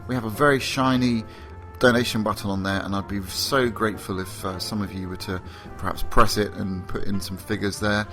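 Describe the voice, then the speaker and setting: Low-voiced guy hosting a podcast, bit croaky n sleepy, rambly and excited, faint music behind. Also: a little fast, few pauses. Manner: rambling, enthusiastic, frequent fillers. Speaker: podcast host. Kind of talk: casual podcast presenting.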